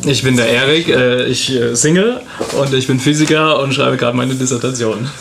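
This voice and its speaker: A man's voice: mid-range voice, moderate pace, vocal fry, over music. A 33-year-old man, the band's singer, introducing himself in a jokey, laughing way. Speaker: band singer, guest.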